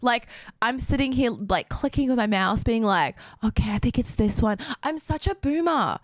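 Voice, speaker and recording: A young woman streaming a game and chatting casually with her viewers, bubbly and quick to laugh, her voice a little raspy from just waking up. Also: a little high, animated, no echo. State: slightly raspy, just woken up. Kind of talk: casual chat with a live stream audience.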